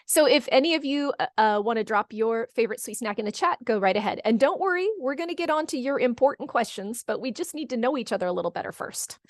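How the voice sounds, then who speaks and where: A woman's voice: fairly high pitch, no room sound. Chatty middle-aged woman hosting, close dry sound. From California, United States. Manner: chatty, encouraging, repeats answers back. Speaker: webinar host. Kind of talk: casual moderated chat, reading out audience comments. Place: online video call.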